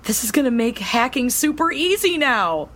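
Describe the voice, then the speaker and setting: A woman playing an eager, excitable female superhero hacker and scientist in an audio drama, with an expressive delivery. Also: on the high side, normal speed. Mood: gleeful, proud.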